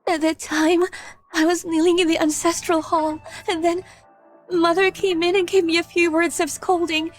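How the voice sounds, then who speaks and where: A bullied young daughter-in-law in a period drama. She speaks in an extremely high, soft voice with earnest, timid delivery. Quiet music plays behind her. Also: flat delivery, moderate pace. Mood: earnest, recounting. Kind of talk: dubbed period drama acting.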